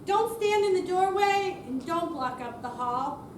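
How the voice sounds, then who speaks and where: A woman's voice: very high-pitched, lively intonation, measured pace. A woman performing in readers theater, reciting verse lyrics. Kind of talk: dramatic recital of song lyrics. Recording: distant echoey room, faint noise.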